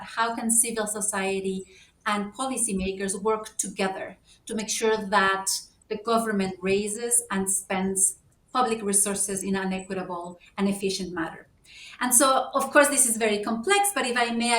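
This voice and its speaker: A woman's voice: mid-range voice, normal speed, a bit bright. A woman from Ecuador, speaking as a panelist and laying out her points in order. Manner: earnest, organized, lists points in order. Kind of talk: panel discussion remarks. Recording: roomy echo, mic at a distance.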